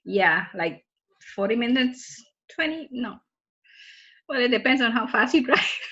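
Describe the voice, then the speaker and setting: A woman's voice: a little high, lively intonation, measured pace, a bit bright. A woman from Trinidad, a student chatting casually with classmates on a video call, often laughing and restarting her sentences. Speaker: student in group meeting. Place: home in Florida. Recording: muffled lo-fi video call audio.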